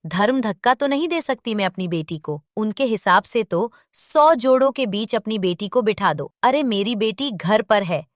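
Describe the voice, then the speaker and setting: A woman playing a proud, argumentative middle-aged mother and homemaker in a Hindi family story, scolding and quick to retort. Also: medium pitch, lively intonation, no room sound, studio quality, lightly acted. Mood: indignant, scolding.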